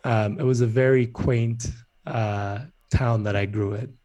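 Mellow-voiced man from Ethiopia. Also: mid-range voice, steady tone, a little slow, highs cut off. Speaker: startup founder, podcast guest. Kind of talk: conversational interview answers.

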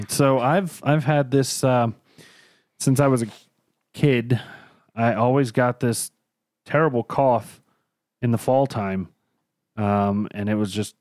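A man's voice: medium pitch, fairly even intonation, takes it slowly, pauses often, a little mellow, dry recording, studio quality. Man with a cough, rambling. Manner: rambling, loses his train of thought. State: coughing, tickly throat. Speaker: podcast co-host. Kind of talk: casual chat.

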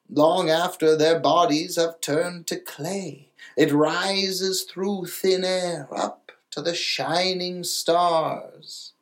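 Man voicing a stately old mermaid grandmother, high and slow. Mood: solemn, instructive. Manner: slow, emphatic, lecturing.

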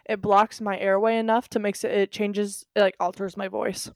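A woman co-hosting a podcast, chatting casually with many fillers and frequent laughs, in a slightly deep voice. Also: flat delivery, normal speed, darkish tone, no room sound. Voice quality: rambling, filler-heavy, laughs often; deeper voice. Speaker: podcast co-host. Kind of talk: casual chat.

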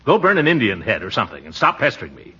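An actor playing a pompous, exasperated middle-aged uncle in a 1940s radio comedy, blustering and scolding theatrically. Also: male voice, mid-range voice, huge pitch swings, racing, pushed and bright. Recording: thin muffled 1940s radio recording.

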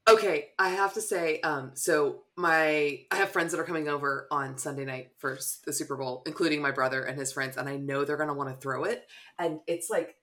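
A woman's voice: deep voice, fairly even intonation, a little fast, a bit bright. A woman chatting as a podcast guest, bubbly and enthusiastic. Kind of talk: casual group podcast chat.

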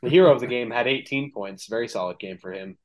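A man's voice: medium pitch, fast. A man hosting a casual sports podcast, recapping games with plenty of ums and filler, his voice a little worn. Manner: relaxed, filler-heavy recap of game stats. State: slightly losing his voice. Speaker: podcast host.

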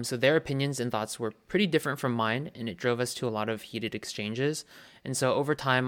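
Smooth-voiced young man co-hosting. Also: medium pitch. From Colorado. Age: 20s. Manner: relaxed, many ums. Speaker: podcast co-host. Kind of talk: casual podcast chat, partly read. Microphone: remote home mic.

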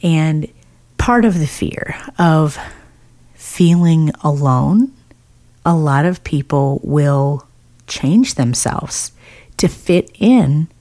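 Low, slow-talking woman podcast host. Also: no echo. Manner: earnest, encouraging, addresses listener as friend; scripted upbeat intro, then relaxed musing talk. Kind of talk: faith-based podcast talk.

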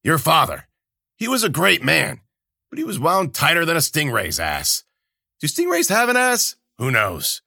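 A man voicing a boisterous, hairy male healer who drinks and laughs loudly and teases everyone. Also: huge pitch swings, moderate pace, creaky, no echo, clean studio sound, heavily acted. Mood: jovial, roaring. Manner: booming laughter, loud and teasing. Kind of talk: audiobook character voice.

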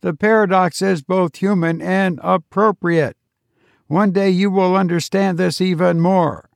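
A man voicing a calm, strict robot teacher who speaks flatly and without feeling. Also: high voice, gravelly, no room sound, voice pushed up. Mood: instructive. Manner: flat, unfeeling, measured.

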